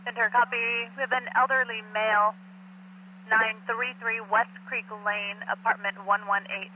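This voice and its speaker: A woman's voice: on the high side, flat delivery, moderate pace. A woman working as a fire dispatcher, relaying messages over two-way radio in a procedural, matter-of-fact way. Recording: tinny phone-like dispatch radio, faint noise.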